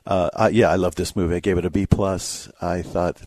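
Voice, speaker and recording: Low-voiced, dry-recorded American male critic. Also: steady tone, fairly quick, darkish tone, no echo. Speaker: radio co-host and movie critic. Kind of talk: casual review banter.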